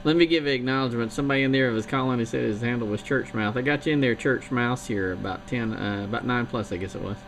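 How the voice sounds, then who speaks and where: Gravelly man chatting over noisy CB radio. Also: medium pitch, fast, hardly any pauses. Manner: rambling, chatty, with fillers. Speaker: CB radio operator. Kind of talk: casual CB radio chat. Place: home radio station.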